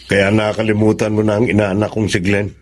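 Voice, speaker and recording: A man's voice: lowish voice, flat delivery, brisk, natural voice. An actor in a radio drama playing a frank, caring godfather who speaks earnestly with some reproach. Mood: earnest, reproachful. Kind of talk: Tagalog radio drama acting.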